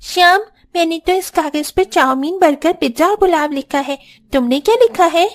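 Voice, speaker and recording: A hopeful little girl from a cartoon tale. Her voice is extremely high and childlike. She speaks eagerly and innocently. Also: normal speed, faint music behind. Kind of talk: Hindi cartoon story voice acting.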